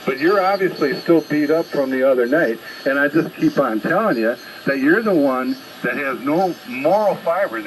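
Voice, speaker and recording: A man with a medium-pitched voice comes through a shortwave ham radio signal thick with noise. He lectures like a seasoned operator in a dispute, blunt and confrontational in his delivery. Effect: shortwave single-sideband radio transmission. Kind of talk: unscripted argument over ham radio. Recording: very noisy ham radio reception.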